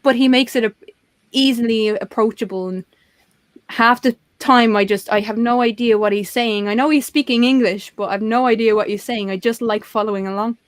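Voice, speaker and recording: A woman's voice: fairly high pitch, fairly even intonation, moderate pace, no room sound. A middle-aged woman co-hosting a livestream chat, rambling and self-deprecating, laughing often. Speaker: co-host of a livestream talk show. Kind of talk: casual chat.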